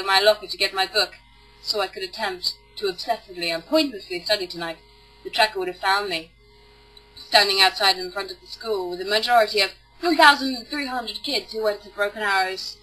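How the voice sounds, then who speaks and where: A woman's voice: mid-range voice, pushed and bright, rough recording. A woman giving a theatrical, sarcastic dramatic reading of a novel as an amateur narrator. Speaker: amateur book reader. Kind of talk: mock-dramatic audiobook narration.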